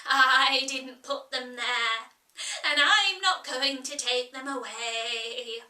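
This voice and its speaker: A bossy, grumpy sheep, put on by a woman reader, snaps her lines loud and slow and tacks on a bleating "meh." The voice sits fairly high and bright, heard from a distance in a roomy, echoing space. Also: heavily acted. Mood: curt, scolding. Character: grumpy bossy female sheep knitting in a boat. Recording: roomy echo, distant mic.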